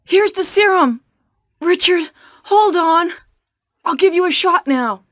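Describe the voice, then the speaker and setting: A high, slow, earnest game heroine. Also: female voice, animated, a bit bright, clean studio sound, full character voice. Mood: concerned, earnest. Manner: stilted, slow, over-enunciated delivery. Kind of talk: 1990s video game voice acting. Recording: long hollow reverb.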